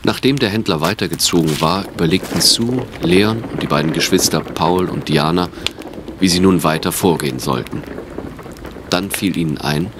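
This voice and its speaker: A man's voice: deep voice, noisy background. A man reading scripted narration for an audio drama.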